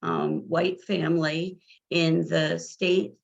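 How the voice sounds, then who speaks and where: A middle-aged woman from New Jersey with a scratchy, tired voice, telling her own adoption story slowly, with many fillers and restarts. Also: low voice, little pitch movement, creaky. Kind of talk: personal account. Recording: dull lo-fi audio.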